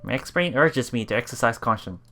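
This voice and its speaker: A let's play streamer reading game narration aloud haltingly and adding casual commentary. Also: medium pitch, animated, fairly bright tone, soft background music.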